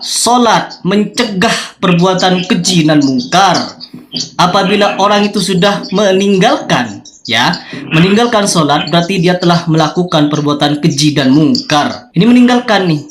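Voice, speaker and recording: A man argues religion in a somewhat high voice. He is confrontational and keeps pressing his opponents with questions. There is light noise on the live recording. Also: a bit bright. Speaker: debater challenging opponents. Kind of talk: heated religious debate.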